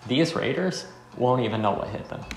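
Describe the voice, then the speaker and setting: A man's voice: mid-range voice, expressive, moderate pace, close to an everyday voice. Man playing a bold, stoic fighter, roomy sound. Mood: resolute, calm. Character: bold male wandering fighter, stoic and defiant. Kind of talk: amateur visual novel voice acting. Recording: roomy with faint music.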